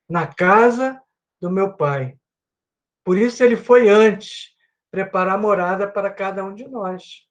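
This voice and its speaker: A man's voice: sits high, lo-fi sound. A Brazilian man teaching a Bible class at his computer with a microphone and headphones. He speaks earnestly and keeps adding little "né" tags. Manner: earnest, repeats himself with many "né" tags. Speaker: Sunday school teacher.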